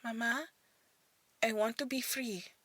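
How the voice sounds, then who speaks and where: A woman's voice: on the high side, fairly even intonation, takes it slowly, a bit bright, slightly rough, no room sound, pitched above the performer's own voice, heavily acted. A woman voicing a restless young daughter who longs to be free, speaking earnestly in an audio drama. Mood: hesitant.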